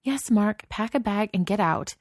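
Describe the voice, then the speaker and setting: A woman's voice: medium pitch, lively intonation, moderate pace, no room sound, clean studio sound, voice pushed up, lightly acted. A woman voicing a frustrated young woman artist who speaks in an impassioned, raised voice.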